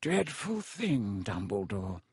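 A man voicing a haughty aristocratic villain with a lazy, smooth, sneering drawl, as in a storybook. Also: on the high side, very animated, slow, no echo, clean studio sound, pitched above the performer's own voice, full character voice. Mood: sneering, smug.

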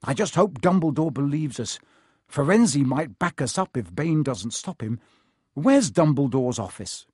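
A man's voice: fairly high pitch, expressive, unhurried, darkish tone, slightly rough, no echo, studio quality. A man voicing an earnest schoolboy in a storybook reading, anxious, urgent and insistent.

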